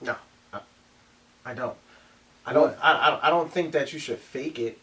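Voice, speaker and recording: A man co-hosting a casual group discussion, talking in halting bursts with many restarts. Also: medium pitch, a bit bright, far from the mic. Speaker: podcast co-host.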